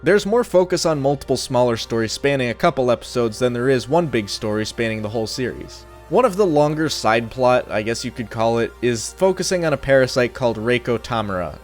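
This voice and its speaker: A young adult man reading a scripted review in a casual, opinionated, sardonic way. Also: mid-range voice. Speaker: video reviewer.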